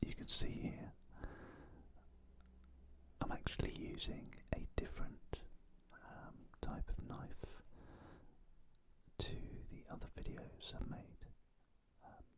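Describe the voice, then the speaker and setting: A cooking host speaks entirely in a soft, breathy whisper. The delivery is very slow and gentle, broken by pauses between short phrases. It is an ASMR-style narration of kitchen work.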